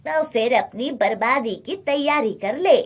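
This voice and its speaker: Woman acting bullying rich merchant man, loud and husky. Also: high-pitched, expressive, unhurried, heavily acted. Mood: menacing. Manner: threatening, domineering. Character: greedy, bullying rich male merchant, menacing and scornful. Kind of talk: moral storybook tale.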